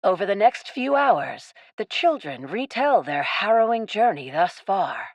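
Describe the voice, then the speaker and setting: A woman's voice: mid-range voice, sing-song, unhurried, no echo, poor audio. A woman reading scripted, dramatic story narration as the narrator of a radio play.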